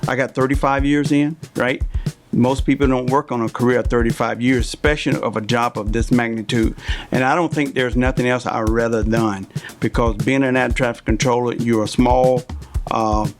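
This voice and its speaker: A man's voice: mid-range voice, fairly even intonation, normal speed, faint music behind. An older man, explaining things conversationally as an experienced interview guest, often checking in with a "right?". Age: 50s or older. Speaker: interview guest, veteran air traffic controller.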